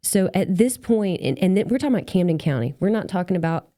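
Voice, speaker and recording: A woman's voice: fairly low pitch, animated, fast talker, dark tone, no room sound, clean studio sound. A woman hosting a casual interview podcast, chatting along in an agreeable, conversational way. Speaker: podcast host.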